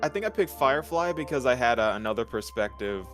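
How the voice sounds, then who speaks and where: A man's voice: medium pitch, expressive, fast, fairly bright tone. A man with a mid-range voice joins as a guest co-host. He chats casually as a gaming fan, with faint music underneath.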